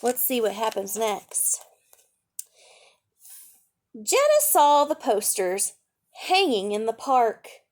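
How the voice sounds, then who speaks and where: A woman reading aloud to children as a storytime teacher, gently explaining and asking questions along the way. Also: on the high side, sing-song, slow pace, long pauses.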